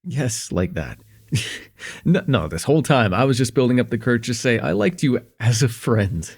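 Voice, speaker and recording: A man playing a shy, smitten young student in an intimate ASMR roleplay, with an earnest, hesitant delivery and a husky, slightly gravelly voice. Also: mid-range voice, big pitch swings, normal speed. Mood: bashful confession. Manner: hesitant, earnest, stammering, chuckling softly between phrases.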